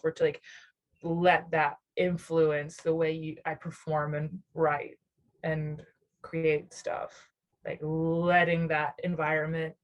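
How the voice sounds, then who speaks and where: A woman's voice: deep voice, low-quality recording. A young adult woman from near Nashville, a musician guest on an interview show, talking in a rambling, reflective way with many fillers and frequent laughs. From Southern US, near Nashville, Tennessee. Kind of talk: casual interview chat.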